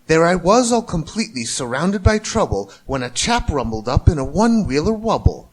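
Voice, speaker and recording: A man narrating a rhyming children's story in an expressive, theatrical way. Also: fairly high pitch, moderate pace. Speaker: storybook reader.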